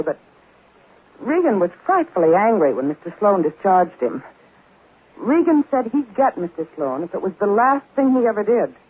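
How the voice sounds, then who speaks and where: A woman's voice: mid-range voice, husky. An actress playing a scheming, cold-blooded woman in 1940s radio drama, on a muffled old recording.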